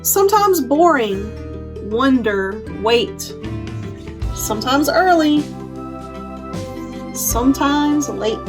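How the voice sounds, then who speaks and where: A woman's voice: high voice, animated, very slow, long pauses, over music. A woman hosting a children's storytime, explaining simply and reading a picture book aloud for young listeners. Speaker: children's librarian, storytime host.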